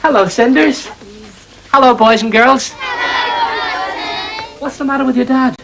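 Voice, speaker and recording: A man's voice: extremely high pitch, fairly even intonation, measured pace, over loud music, over a noisy crowd. A man playing a cheerful, daft young pantomime servant in chatty comic patter. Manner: chatty, gormless, bouncy. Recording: noisy 1980s TV recording.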